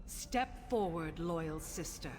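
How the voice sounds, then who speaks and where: A woman's voice: low-pitched, lively intonation, slow pace. An actress playing a fierce, proud commander of assassins in a fantasy video game, declaiming her lines with authority.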